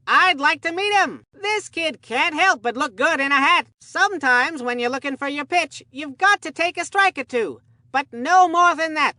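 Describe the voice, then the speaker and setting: An actor voicing an excitable, absent-minded kids' baseball game commentator who rambles in an earnest, folksy way. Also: high voice, no room sound, clean studio sound. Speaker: sports commentator. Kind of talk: cartoon video game sports commentary.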